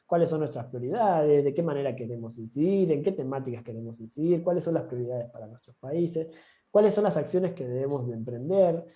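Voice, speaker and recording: A man's voice: on the high side, lively intonation, fairly quick, mellow. A man with an Argentine accent giving an online training session, talking informally with frequent restarts. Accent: Argentine Spanish. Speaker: course instructor.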